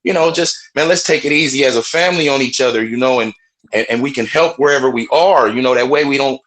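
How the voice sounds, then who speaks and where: A man with a strong, loud voice, speaking passionately on a group phone call. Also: medium pitch, fast talker. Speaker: webinar participant. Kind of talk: casual group call talk.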